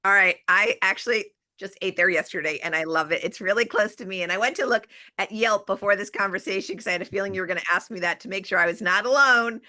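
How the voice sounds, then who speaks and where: An energetic woman talks as a podcast guest, punching her points and repeating key phrases. Her voice is bright and crisp at a middle pitch. She speaks with eager, emphatic energy. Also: quick pace, hardly any pauses. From Los Angeles area, US. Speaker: guest, PR and marketing coach. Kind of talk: casual interview chat.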